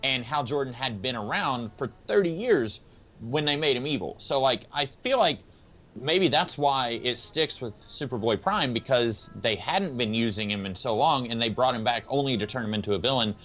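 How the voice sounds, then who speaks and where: A man of medium pitch talks casually on a discussion panel. He explains his reasoning step by step, and the sound is a little dull. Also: lively intonation, moderate pace, a bit bright. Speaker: podcast panelist. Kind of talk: casual comic book discussion. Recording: slightly dull recording.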